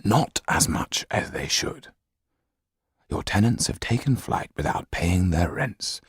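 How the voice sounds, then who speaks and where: Stern male lawyer, acted by an audiobook reader, low pitch, creaky edge, formal, measured, dryly ironic. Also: expressive, dry recording, clean studio sound, full character voice.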